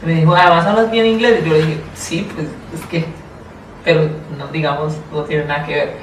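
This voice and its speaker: A woman with a Colombian accent answering interview questions in a thoughtful, deliberate way, often repeating and rephrasing herself. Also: deep voice, fairly even intonation. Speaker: interview guest, public education official. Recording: roomy, distant mic, faint noise.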